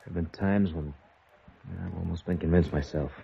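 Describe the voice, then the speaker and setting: An American actor playing an intense, worried gangster's son in a mid-century radio drama, emphatic and earnest. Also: male voice, low voice, fairly even intonation, creaky, breathy edge, full character voice. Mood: worried, insistent. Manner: emphatic, earnest, quick to flare up. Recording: vintage radio, dull sound, faint noise.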